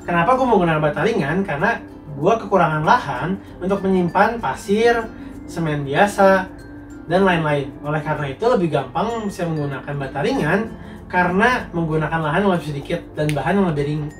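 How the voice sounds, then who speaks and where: A man's voice: a little high, lively intonation, fairly quick. A young man with a congested, nasal voice from a cold, casually answering viewers' questions about building materials as a contractor. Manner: slangy, explanatory, reads out viewer questions. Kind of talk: casual Q&A monologue. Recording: roomy, mic at a distance, faint music.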